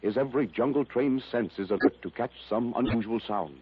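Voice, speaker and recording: A man's voice: medium pitch, a little fast, husky. A man narrating a 1930s radio adventure serial in a solemn, scripted style. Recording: muffled old radio transcription.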